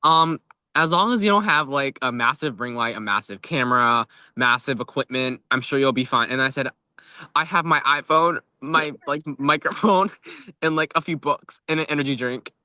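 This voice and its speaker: Very bright-voiced young male podcast host. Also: mid-range voice, normal speed, no room sound. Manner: chatty, informal, frequent 'like'. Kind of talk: casual podcast chat.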